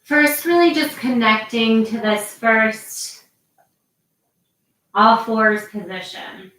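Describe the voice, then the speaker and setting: Slow, calm woman yoga teacher, echoey. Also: on the high side. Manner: slow, calm cueing with long pauses. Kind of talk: guided yoga instruction. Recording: very echoey, voice from a distance.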